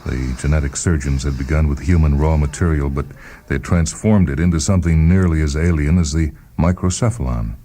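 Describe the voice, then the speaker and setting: A man hosting and reading short fiction in a measured, deliberate, dramatic narration style. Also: a bass voice, dark tone. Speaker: host and reader of a short story programme. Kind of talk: dramatic scripted story narration. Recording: music playing behind the voice. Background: music.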